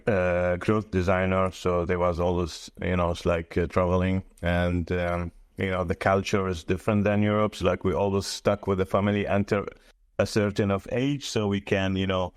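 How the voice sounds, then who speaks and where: Low, smooth-voiced man. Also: little pitch movement, dry recording, studio quality. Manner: halting non-native English with frequent "you know". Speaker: interview guest. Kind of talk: casual chat. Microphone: mobile device.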